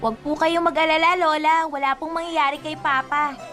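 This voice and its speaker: A woman plays an innocent little Filipino schoolgirl in a radio drama. The voice is very high, polite and curious, cheerfully dutiful. Music plays underneath. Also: fairly even intonation, moderate pace, lightly acted. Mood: innocent, questioning.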